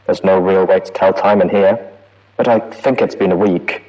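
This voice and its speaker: A man's voice: sits low, fairly even intonation, moderate pace, darkish tone, low-quality recording. A man voicing a frightened male prison staff pony, trapped and pleading, heard as a recorded audio log. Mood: weary, haunted. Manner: fearful, pleading, rising to panic. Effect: audio log playback.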